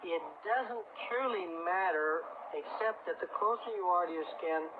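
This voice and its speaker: A noisy, phone-thin old radio broadcast carries a man with a fairly high voice. He is an expert guest who explains things at length, in an instructive way, to callers. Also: narrow, tinny audio. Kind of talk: live radio call-in discussion. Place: radio studio.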